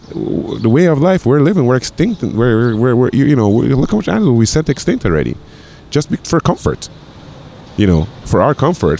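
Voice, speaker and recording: A man's voice: on the low side, dark tone, a little hiss or hum. A man in his forties from Grenada, a chef guest talking casually, with lots of repeated words and fillers. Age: 40s. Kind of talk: casual conversation.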